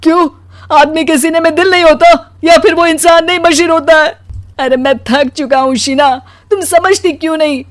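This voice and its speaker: A woman voicing a weary, put-upon, soft-hearted husband in an animated story, with a plaintive manner. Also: very high-pitched, moderate pace, no room sound, pitched above the performer's own voice. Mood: anguished.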